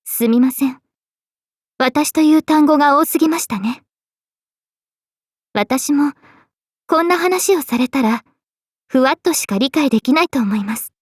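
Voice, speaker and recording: A woman voicing a gentle, dignified young female knight in a game, speaking politely and with composure. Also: high-pitched, measured pace, long pauses, no room sound, studio quality. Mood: calm, explaining. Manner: polite, composed, formal speech. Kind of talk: scripted game character voice lines.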